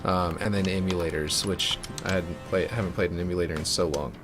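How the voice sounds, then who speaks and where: Deep-voiced man rambling on stream. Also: fairly even intonation, fairly quick. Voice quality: fairly deep voice. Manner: rambling, many fillers and restarts. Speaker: streamer building a game map. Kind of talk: casual live-stream chat while working. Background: music.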